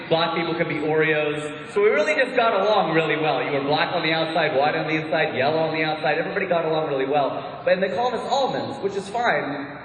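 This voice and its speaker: Man, Midwestern American accent, stand-up comic, slightly high voice, booming echo, long hall reverb. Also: fairly bright tone. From Ohio, USA. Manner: chatty, self-mocking, lots of "like" asides. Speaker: stand-up comedian. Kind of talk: stand-up comedy set. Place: large hall with audience. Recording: echoey hall.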